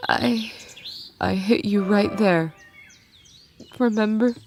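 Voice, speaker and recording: A woman voicing a dying female monster warrior who speaks weakly in halting, tearful phrases over music in a fan dramatic reading. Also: mid-range voice, darkish tone. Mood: wistful, fading. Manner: halting, weak, broken phrases. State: struggling to breathe, tearful. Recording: music bed with birdsong behind.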